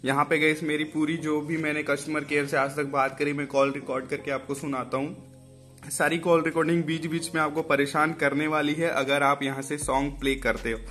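A man's voice: fairly high pitch, little pitch movement, brisk, fairly bright tone, soft background music. A man with an Indian accent hosting a casual step-by-step phone tutorial, conversationally addressing viewers and repeating his instructions. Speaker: tech tutorial host.

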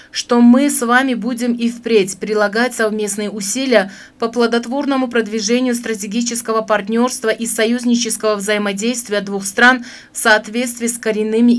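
Formal female news announcer, solemn delivery. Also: a little high. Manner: solemn, measured official delivery. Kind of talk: formal scripted news reading.

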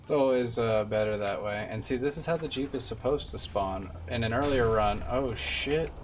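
A man's voice: medium pitch, moderate pace, recorded from a distance, slight background noise. A man in his twenties giving laid-back, musing casual commentary as a video game streamer. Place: indoor room, streaming setup with webcam. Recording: off-mic, loud game sound behind. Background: loud video game audio.

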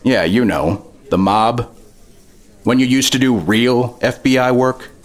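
An actor playing a gruff, dismissive FBI boss in a 1950s radio drama, blunt and businesslike. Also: male voice, medium pitch, slow pace, close to an everyday voice. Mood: needling.

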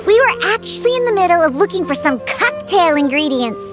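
A very high, swooping child's voice plays a feisty little cat-girl bartender from a video game. She is grumpy, sarcastic and quick to scold. Music plays behind her. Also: big pitch swings. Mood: huffy, exasperated. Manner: huffy, indignant, scolding. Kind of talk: anime-style video game voice acting. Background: gentle orchestral game music.

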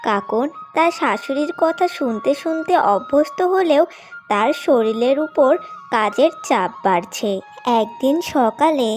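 A woman's voice: high-pitched, expressive, measured pace. A woman narrating a scripted cartoon story. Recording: music bed under the voice.

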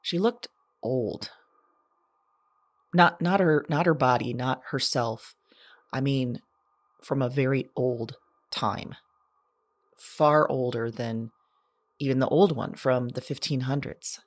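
A woman playing a lonely, haunted character who keeps an audio journal in a drama, with a halting, intimate, confessional delivery. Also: very deep voice, no room sound, full character voice. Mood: wondering. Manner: halting, hesitant, hushed reflective delivery.

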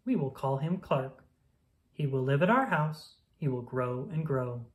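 A woman reading a rhyming children's picture book aloud in a playful, sing-song, expressive manner. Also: extremely low pitch, measured pace.

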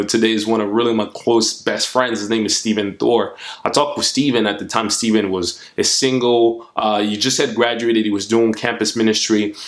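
A man in his twenties from the Democratic Republic of Congo, speaking earnestly to camera as a motivational vlogger. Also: fairly low pitch. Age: 20s. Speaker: YouTube host. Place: small furnished room at home.

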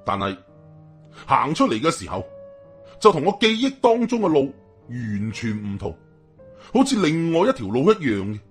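Man, Hong Kong Cantonese accent, dramatic storytelling, slightly rough husky voice, mid pitch, colloquial, suspenseful. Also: very animated, moderate pace, pauses often, faint music behind. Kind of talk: dramatic first-person story narration.

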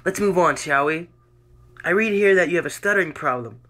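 A man's voice: fairly high pitch, lively intonation, a little fast, pushed and bright, pitched above the performer's own voice. A man voicing a probing doctor who questions his patient in a coaxing, patronizing way.

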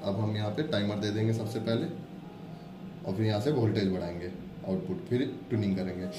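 A man's voice: on the low side, fairly even intonation, a little fast, background noise. A man presenting an informal product demonstration, instructing viewers step by step and addressing them as friends. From India.

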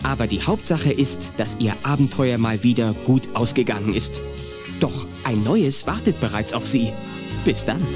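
A man narrating a scripted children's audio play as an animated storyteller. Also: mid-range voice, moderate pace. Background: music.

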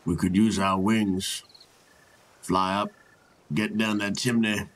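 A man's voice: fairly low pitch, level tone, slow, a bit bright. A man playing a tabletop game, bantering casually and jokingly with hesitant phrasing. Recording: slightly distant from the mic.

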